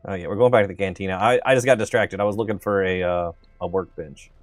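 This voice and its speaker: A man's voice: low voice, steady tone, fast, dry recording. A man from the Southern United States with a neutral accent. He rambles casually with lots of fillers while commenting on a game he is playing. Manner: rambling and chatty, with many fillers. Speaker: streamer. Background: faint game music.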